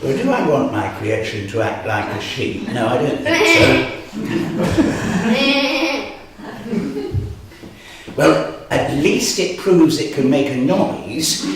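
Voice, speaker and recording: A man's voice: medium pitch, sing-song, slow, long pauses, a loud crowd. Guy doing a muttery eccentric old wizard, grumpy but gleeful, voice swoops around, super echoey room. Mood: musing. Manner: theatrical, muttering to himself, chuckling. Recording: very echoey room, distant mic, noisy.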